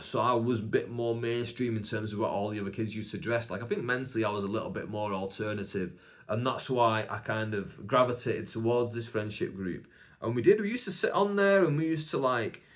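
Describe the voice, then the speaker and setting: A young man with a Bolton accent, a stand-up comedian rambling through a casual, self-mocking solo podcast with lots of "like" fillers. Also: medium pitch. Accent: Northern English, Bolton. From England.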